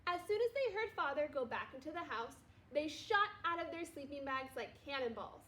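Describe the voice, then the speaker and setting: A woman, a primary school teacher, reading a children's storybook aloud in an expressive way. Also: high voice, normal speed, slight background noise. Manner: expressive, acts out dialogue. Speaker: second-grade teacher.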